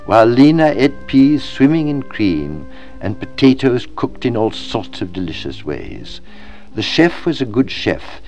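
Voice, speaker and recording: A man reading literary fiction aloud as scripted narration, in a measured, dramatic storytelling manner. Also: mid-range voice, a little mellow. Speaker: audiobook reader.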